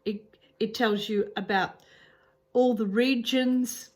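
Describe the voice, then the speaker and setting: A woman's voice: on the high side, fairly even intonation, slow pace, darkish tone. A woman with an Australian accent giving casual travel advice to camera, earnest, with frequent ums and restarts. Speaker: travel vlogger.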